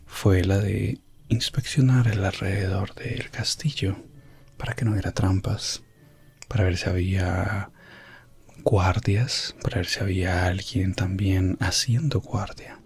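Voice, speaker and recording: A man narrating a medieval ASMR roleplay as an elf prince explorer, in a hushed voice that slips in and out of whispering. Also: low voice, breathy edge. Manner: hushed, drifting between soft voice and whisper. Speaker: ASMR storyteller.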